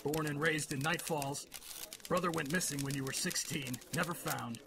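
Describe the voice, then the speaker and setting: A man's voice: a little high, fairly even intonation, normal speed, gravelly. Actor as suspicious male cop in radio drama; official, blunt, noticeable noise behind him. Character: gruff, suspicious male police lieutenant. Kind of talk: audio drama acting.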